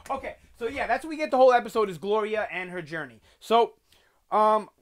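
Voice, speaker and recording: Young New guy hosting a TV review channel, animated and loose, lots of "um" and "you know", webcam sound. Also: high voice, a little fast. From New York. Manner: animated, gesturing a lot, chatty with fillers. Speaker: TV review host. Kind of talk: casual video review. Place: small bedroom with collectibles on shelves.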